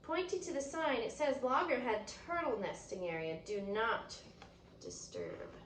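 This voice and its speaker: A woman's voice: a little high, sing-song, a little slow. A woman reading a children's picture book aloud expressively and explaining it in an instructive, teacherly way. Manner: instructive, explains and points things out. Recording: roomy echo, distant mic, faint noise.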